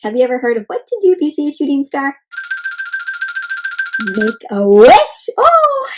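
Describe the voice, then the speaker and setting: A woman doing an interactive children's read-aloud, expressive and full of exclamations, often putting questions to young listeners. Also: high-pitched. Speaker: host reading a picture book aloud to children.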